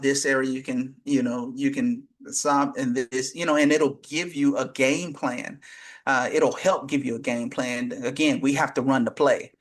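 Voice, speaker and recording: A man's voice: fairly high pitch, fairly bright tone. A man who works as a coach, speaking as an interview guest and explaining earnestly, with frequent restarts. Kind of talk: conversational interview.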